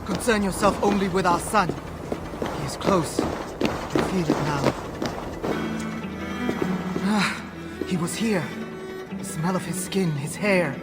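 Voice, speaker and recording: A woman's voice: fairly low pitch, slow pace, pauses often, over loud music. Fierce, imperious Norse goddess mother. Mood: longing, fierce. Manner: imperious, archaic formal phrasing. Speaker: voice actor in a video game. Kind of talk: dramatic game cutscene acting.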